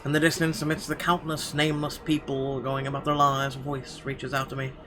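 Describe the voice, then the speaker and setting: A man's voice: mid-range voice, monotone, a little fast. Man narrating dramatically over music. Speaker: let's player. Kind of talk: dramatic read-aloud narration of horror visual novel. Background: game music.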